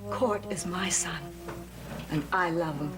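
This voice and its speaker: Anxious, defiant wife and mother. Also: fairly low pitch. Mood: anxious, reproachful. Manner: halting, emotional. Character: devoted mother, uneasy wife standing up to husband. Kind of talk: gothic soap opera acting. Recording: dramatic music under the dialogue.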